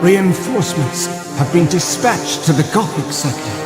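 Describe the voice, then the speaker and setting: An actor playing a devout, zealous servant of a space empire in a game cutscene, halting and portentous in delivery. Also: male voice, a little high, animated, lightly acted. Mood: awed. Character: reverent, zealous male servant of a space empire bearing tidings. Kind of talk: scripted dramatic game cutscene acting. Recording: loud music behind the voice.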